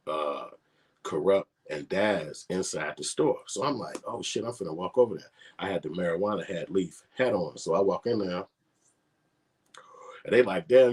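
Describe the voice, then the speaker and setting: Man in his 40s, very low husky voice, slangy laid-back storyteller. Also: a little mellow. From Chicago. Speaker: filmmaker vlogger. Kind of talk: casual storytelling.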